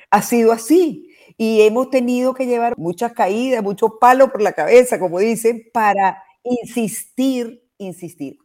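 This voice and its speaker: A woman's voice: mid-range voice, a little mellow. Thoughtful Venezuelan woman in her sixties. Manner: thoughtful, explanatory, with many restarts and "o sea" asides. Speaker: guest, biologist. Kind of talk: radio interview conversation.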